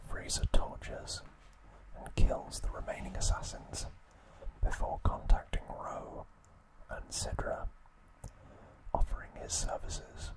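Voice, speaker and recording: A man whispering an ASMR recap narration in short, hushed, unhurried phrases. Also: low-quality recording.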